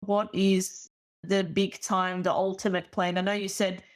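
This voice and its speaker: Woman, podcast host, coach, encouraging, reflective, medium pitch. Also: flat delivery, unhurried. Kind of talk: casual interview chat.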